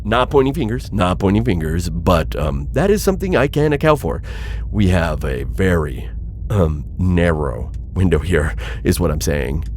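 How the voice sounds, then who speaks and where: A man's voice: sits low, sing-song, normal speed, darkish tone, a little hiss or hum. A man playing an anxious, pleading insectoid alien bounty hunter in a sci-fi audio roleplay, flustered, hesitant and formally wordy. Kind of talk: scripted audio roleplay addressed to listener.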